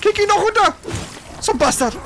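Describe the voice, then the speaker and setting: An excitable gamer commentates casually on their own play, with pitch that jumps around a lot. The language is colloquial, with exclamations and mild swearing. There is clear noise behind the voice. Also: fairly quick.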